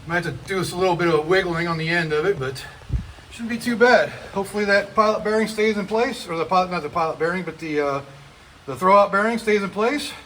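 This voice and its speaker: A man working in a metal shop, explaining each repair step aloud in a casual, rambling way with lots of fillers and speaking up loudly. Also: high-pitched, fast, slight background noise, poor audio. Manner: speaks up loudly over the rain. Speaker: mechanic and channel host. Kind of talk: casual garage how-to narration. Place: metal shop building during rain. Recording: loud rain drumming on a metal roof.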